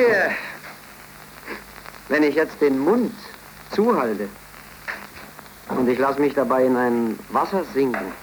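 Eccentric jobless young man, bitter, theatrical, slow, 1930s radio drama, hissy old recording. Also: medium pitch, low-quality recording. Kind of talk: 1930s radio play acting.

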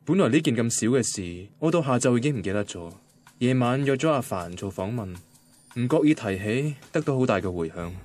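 An actor playing an anxious, defensive young boyfriend from a Cantonese radio drama, conversational and grumbling, with a Hong Kong accent. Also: male voice, mid-range voice, lively intonation, a little fast, a little mellow, close to an everyday voice. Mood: reflective, uneasy. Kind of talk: radio drama first-person narration and dialogue. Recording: guitar music behind the voice. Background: guitar music.

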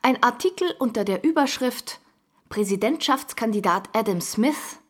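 Husky, persistent woman journalist. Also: a little high, lively intonation, normal speed. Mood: pointed, challenging. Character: pointed, persistent female political journalist. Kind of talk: radio drama interview scene.

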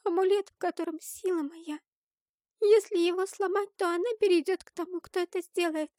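A small, high-pitched girl from a fantasy storybook played by a woman narrator. She is soft, earnest and full of emotion. She carries ancient power but sounds anxious and sorrowful. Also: steady tone, moderate pace, no room sound, clean studio sound. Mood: hushed, urgent.

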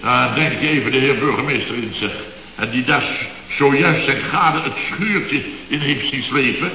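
Radio comedy boss: excitable contractor, bright forceful voice, blustering and indignant, on a hissy echoey old recording. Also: male voice, medium pitch, moderate pace, muffled recording. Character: flustered, excitable male building contractor boss recounting his mishaps. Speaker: construction firm boss. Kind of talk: radio comedy serial acting.

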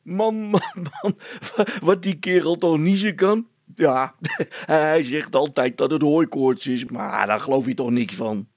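Man doing storybook village policeman, chatty, gullible, hearty, creaky voice, close dry sound. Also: fairly high pitch, measured pace, no room sound, pitched above the performer's own voice. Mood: amused. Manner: rambling, repeating himself, hearty. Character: gullible, chatty, jovial male village constable. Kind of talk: storybook character acting.

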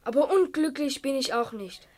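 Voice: high voice, expressive, moderate pace, a little mellow. A performer in a radio play playing a stoic, lonely schoolboy, thoughtful and matter-of-fact. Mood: calm, resigned.

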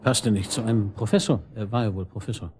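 An actor playing a dry, wry and sceptical police chief inspector in a German radio drama, with a hesitant manner. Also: male voice, lowish voice, fast talker, darkish tone, slight background noise, natural voice. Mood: questioning, dry. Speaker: detective.

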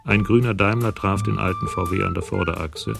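A man narrates a German radio crime drama from a script. His voice is low and gravelly, with music playing clearly behind it. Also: a little fast.

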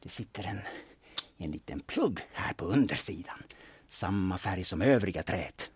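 A man playing a shrewd, secretive older veteran turned sleuth in an audio drama, husky and deliberate in a theatrical way. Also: deep voice, expressive, dry recording, full character voice. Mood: absorbed. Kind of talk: serial audio drama acting.

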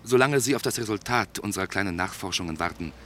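A probing police investigator in a radio drama, speaking in a low, very animated voice. He is polite but drily ironic and insistent. Faint noise sits behind the voice. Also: quick pace. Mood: businesslike, probing. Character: probing, courteous, dryly teasing male police investigator. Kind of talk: German radio play acting.

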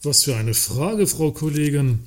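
An actor playing a dry, mocking works council representative in a satirical radio play, ironic and wordy. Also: male voice, a little high, expressive, unhurried. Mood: mock earnest.

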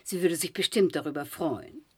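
A woman's voice: low voice, normal speed, husky. An actress playing a naive, dreamy, stranded wife and mother in a science-fiction radio drama, airy and earnest. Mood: brightly hopeful.

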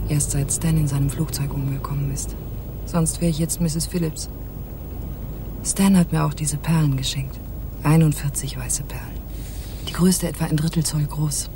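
An actress playing a mysterious, seductive married woman in a German noir radio play, speaking softly and intimately. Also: low voice, lightly acted. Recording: noisy 1980s radio play recording.

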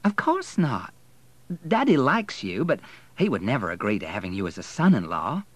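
A man voicing a haughty young Southern lady, prim, brisk and condescending, in an audiobook novel. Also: mid-range voice, huge pitch swings, dry recording. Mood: patronising.

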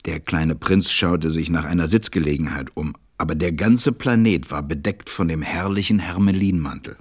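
A man playing a gentle, reflective storyteller, narrating a storybook radio play in a measured way. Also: deep voice, slightly rough.

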